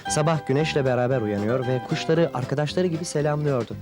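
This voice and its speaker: A man's voice: mid-range voice, fast talker. A man narrating a children's cartoon in a scripted storytelling style. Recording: music under the voice.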